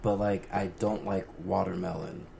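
A man's voice: deep voice, fairly even intonation, normal speed, creaky, crowd noise. A young man on a casual podcast, pushing strong opinions while hedging with lots of "like". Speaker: podcast co-host. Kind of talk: casual chat. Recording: echoey room with faint noise.

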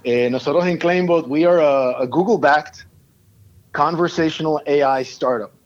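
A Puerto Rican startup founder, a man, giving a polished pitch with filler ums in a casual interview. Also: measured pace, muffled recording. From Puerto Rico. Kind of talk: casual interview, switching Spanish and English.

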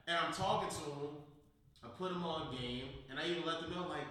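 An American man hosting a casual podcast, chatting and telling stories in slangy, informal talk, his voice slightly hoarse from recovering after losing it. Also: fairly high pitch, brisk, bright, forceful tone. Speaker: podcast host. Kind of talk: casual podcast chat and storytelling. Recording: echoey room, distant mic, faint noise.

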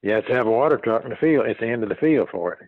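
A man in his eighties reminiscing in a casual interview, speaking in a folksy, halting way and repeating words and phrases as he goes. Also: medium pitch, animated, dry recording, phone-quality sound. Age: 80s. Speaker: interviewee, retired farm machinery dealer.

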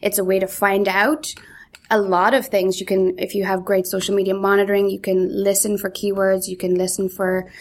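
Woman, from Ireland, neutral accent, mid-pitched, chatty, upbeat, quick to laugh. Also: little pitch movement. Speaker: interview guest, content marketing lead. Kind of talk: casual interview answers.

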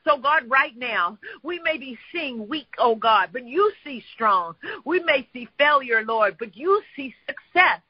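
Very high-pitched man in fervent prayer. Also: expressive, measured pace, few pauses, breathy edge, muffled recording. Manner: impassioned, declamatory, repeated invocations and refrains. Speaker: prayer leader.

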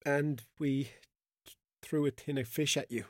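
An actor playing a frightened, remorseful captive of about forty in an audio drama, halting and pleading. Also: male voice, mid-range voice, fairly even intonation, no room sound, clean studio sound, close to an everyday voice. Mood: reluctant, ashamed.

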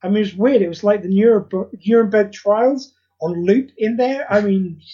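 Chatty podcast guest, lively storyteller with fillers, mid pitch, slightly muffled audio. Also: normal speed. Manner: animated, quotes others in acted voices, frequent fillers. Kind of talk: casual chat.

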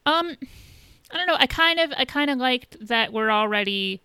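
Female podcast co-host, smooth mid-pitched voice, blunt informal chat with swearing, recorded very dry. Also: expressive, normal speed, bright, forceful tone, dry recording. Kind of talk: casual chat reviewing a TV episode.

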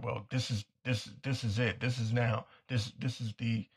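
A man hosting a talk show with a hoarse, nearly gone voice, delivering a blunt and insistent commentary monologue that repeats its points. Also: mid-range voice. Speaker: podcast host.

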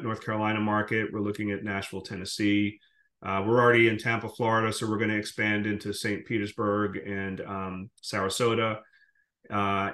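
A man's voice: lowish voice, a bit bright. A man from the Caribbean speaking as an interview guest, explaining his business in a detailed, conversational way. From Caribbean, born in Guyana and raised in Jamaica. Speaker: podcast guest, short-term rental investor. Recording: roomy, echoing sound.

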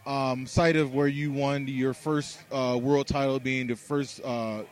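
A man's voice: medium pitch, level tone, a little slow. A man interviewing a guest in a casual chat, asking loosely phrased questions in an easygoing, chatty way. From born in Hawaii, raised in Virginia and Baltimore.

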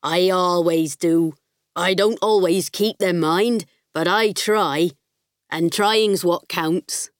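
A woman voicing a grumpy but kindly male sheep farmer in a slow, measured, rustic manner for an audiobook. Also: lowish voice, no echo, clean studio sound, pitched below the performer's own voice. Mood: gruffly kind.

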